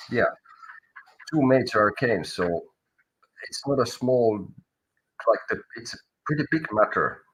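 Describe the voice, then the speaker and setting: A man with a Finnish accent doing a live tarot reading, halting and searching for words as he goes. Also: on the low side, fairly bright tone, breathy edge, low-quality recording. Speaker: tarot reader.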